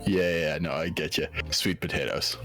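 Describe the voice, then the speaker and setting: A man's voice: very deep voice, steady tone, fairly quick. A man on a live stream talking casually as a guest, rambling with lots of fillers and swearing. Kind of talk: casual chat. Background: background music.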